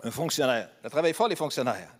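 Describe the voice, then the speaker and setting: A man's voice: mid-range voice, lively intonation, quick pace. A man with a Québécois accent preaching a sermon in a church, conversational and humorous, asking the congregation questions. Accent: Québécois French. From Quebec, Beauce region. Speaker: pastor. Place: church hall. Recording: long hall reverb.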